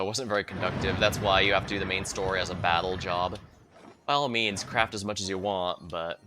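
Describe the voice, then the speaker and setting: A man's voice: fairly low pitch, bright, forceful tone. A man giving casual gameplay commentary as a streamer, explaining things in a hesitant, trailing way. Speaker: game streamer. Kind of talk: casual gameplay commentary, reads quest dialogue aloud.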